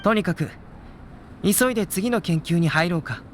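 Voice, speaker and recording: Man playing a fast, high-voiced young alchemist. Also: slight background noise, lightly acted. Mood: composed. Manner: composed, explanatory, matter-of-fact. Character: calm, scholarly young male alchemist teacher. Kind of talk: scripted game dialogue. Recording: music behind the voice.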